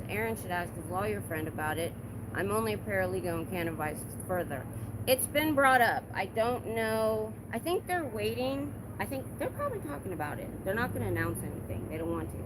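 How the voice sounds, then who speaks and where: A woman livestreaming from a city street, chatting casually and reading viewer comments, getting animated and emphatic when indignant. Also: on the low side. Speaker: livestreaming protester. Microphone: phone held in hand. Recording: phone recording outdoors with street noise. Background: traffic and street noise.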